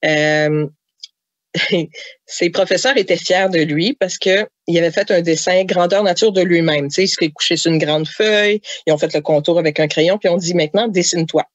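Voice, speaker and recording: A woman's voice: fairly low pitch, moderate pace, no room sound, lo-fi sound. A woman with a Québécois accent, a novelist and mother, telling anecdotes in a podcast interview, chatty with many fillers and laughs.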